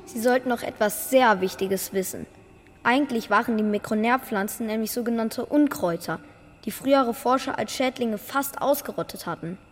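A woman's voice: a little high, moderate pace, lightly acted. A woman playing an eager, earnest young boy in a radio play. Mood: pleading, earnest. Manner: eager, earnest, youthful slang. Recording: reverberant hall, music behind.

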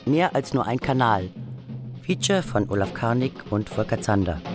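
Male radio announcer, mid-range voice, formal credit read over clear backing music. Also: medium pitch. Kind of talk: scripted broadcast narration. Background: music with drums and guitar.

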